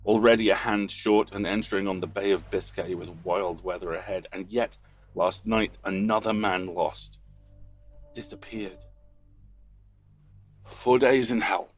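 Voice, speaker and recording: A man playing a weary, fearful but dutiful ship's captain in an audio drama, grave and halting as if recording a log. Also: lowish voice, fairly even intonation. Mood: grim. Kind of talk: audio drama acting, reading a logbook.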